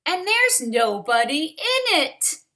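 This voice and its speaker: Lady doing happy eager bird husband for a kids storybook, slow n sing-songy, bright. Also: sits high, sing-song, vocal fry, pitched above the performer's own voice, exaggerated voice.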